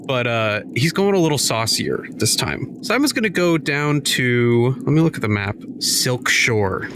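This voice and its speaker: A man's voice: mid-range voice, sing-song, unhurried, noisy background. A man playing a tabletop game, rambling through explanations with fillers and laughs. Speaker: player. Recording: music bed under the voices.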